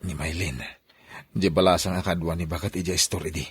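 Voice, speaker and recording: A man's voice: low-pitched, steady tone, a little fast, slight background noise, lightly acted. A man playing a commanding, secretive husband in a radio drama, with an Ilocano Filipino accent. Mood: confiding. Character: secretive husband Alex, commanding, scheming over his hidden child.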